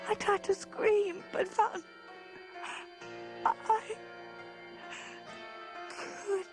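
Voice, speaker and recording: Ailing young woman for gothic radio horror: frail, high, hushed, unhurried and earnest. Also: full character voice, low-quality recording. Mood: terrified. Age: young adult. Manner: hushed, breathy, haunted, deliberate. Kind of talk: radio horror drama acting and narration.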